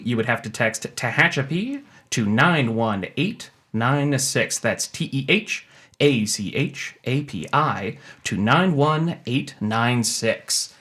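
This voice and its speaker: A man's voice: medium pitch, a bit bright. Male city spokesman, mid-range voice, casual promotional talk on an interview show.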